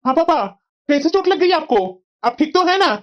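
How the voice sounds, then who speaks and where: High, boyish young husband voiced by a woman. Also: normal speed, lo-fi sound. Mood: concerned. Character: mild, obedient newly married young adult son. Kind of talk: Hindi family cartoon voice acting.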